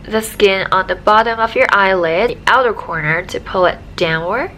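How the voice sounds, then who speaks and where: A young woman talks to her viewers in a loose, chatty vlog manner, her voice stuffed-up and nasal with a dry, slightly raspy edge. Her tone is bright and forward. A faint hiss of background noise sits under the recording. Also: medium pitch, normal speed, rough recording. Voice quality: nasal, congested. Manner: chatty, frequent "like" fillers. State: dry raspy throat after surgery. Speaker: vlogger. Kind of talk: casual vlog talk to camera.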